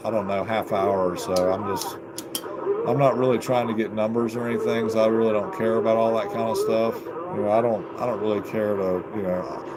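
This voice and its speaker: A man's voice: on the low side, steady tone, brisk. A man chatting casually in a rambling, folksy way as he hosts a live video from his basement radio room. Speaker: live stream host, CB radio hobbyist. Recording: very noisy home live stream.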